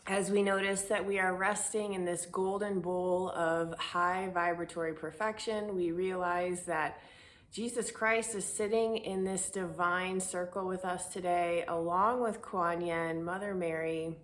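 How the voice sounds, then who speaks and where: A woman guides a prayerful meditation in a slightly low voice. Her delivery is very slow and soothing, with deliberate phrases and unhurried pauses between them. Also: steady tone, a bit bright.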